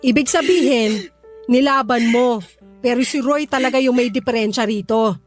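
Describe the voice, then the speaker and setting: A woman's voice: on the high side, normal speed, faint music behind, natural voice. A woman playing a feisty, protective middle-aged Filipina mother in a radio drama, blunt and scolding, with a Filipino accent. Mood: indignant, protective.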